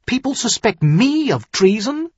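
A man playing a proud younger nobleman who is quick to take offence, sharp and demanding, in an audiobook. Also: fairly high pitch, lively intonation, breathy edge, no room sound, lo-fi sound, voice pushed up. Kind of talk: audiobook character dialogue.

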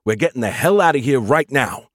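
Man acting a blunt, animated starship commander. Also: mid-range voice, fairly quick, no room sound, clean studio sound, pitched above the performer's own voice, natural voice. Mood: urgent, commanding. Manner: commanding, sarcastic. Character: male military starship commander, blunt and urgent.

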